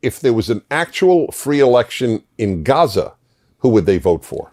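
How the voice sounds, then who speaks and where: A man's voice: medium pitch, very animated, a little fast, a little mellow. A man interviewing a guest, asking probing questions and chiming in often to agree. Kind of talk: conversational interview.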